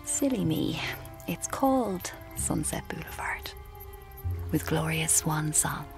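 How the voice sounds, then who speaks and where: An actress playing a dreamy, self-deluded young Irish woman narrating her own story in a breathy, confiding, languid way for radio drama, with music underneath. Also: sits low, lively intonation, slow pace, in a whisper, full character voice. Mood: dreamy, self-satisfied. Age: young adult. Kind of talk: intimate first-person radio drama narration.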